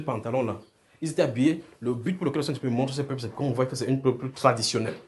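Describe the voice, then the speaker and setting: A man's voice: mid-range voice, a little mellow. A man with a Francophone African accent preaching prophetic visions off the cuff, repeating phrases and checking that his listeners follow. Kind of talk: extempore prophetic sermon to a congregation.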